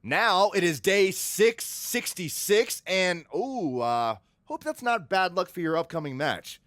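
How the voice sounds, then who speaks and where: Male wrestling presenter, high voice, dry studio sound, eager and a little awkward. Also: lively intonation, takes it slowly, a bit bright, no echo, full character voice. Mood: apologetic. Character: eager, slightly awkward male sports broadcaster. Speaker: commentator and interview co-host. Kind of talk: sports broadcasting in game cutscene.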